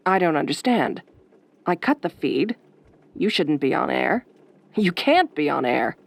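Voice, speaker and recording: Woman acting a late-night radio host, horror roleplay, lowish velvety voice, soft, dry, sardonic. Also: very animated, measured pace, gravelly, dry recording, full character voice. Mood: sardonic, baffled. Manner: soft-spoken, dry, wry.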